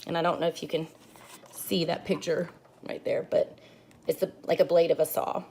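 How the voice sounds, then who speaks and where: A woman's voice: deep voice, normal speed. A woman teacher reading a children's book aloud, explaining pages to young students as she goes. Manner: expressive storybook reading with asides to kids. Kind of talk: children's read-aloud lesson.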